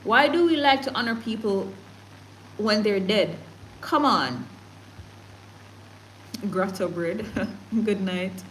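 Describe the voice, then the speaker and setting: A woman's voice: mid-range voice, slow, long pauses, a little hiss or hum, rough recording. Emphatic Jamaican woman livestreaming. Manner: animated, emphatic, laughs often, mixes patois. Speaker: livestream news host. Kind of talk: casual livestream chat reading comments and news.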